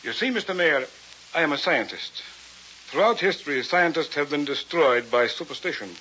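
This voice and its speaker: A man's voice: a little high, lively intonation, moderate pace, bright, forceful tone. A man acting as an arrogant, coldly rational scientist and domineering husband in a vintage radio drama, with a pompous, lecturing manner. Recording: muffled, hissy vintage radio recording.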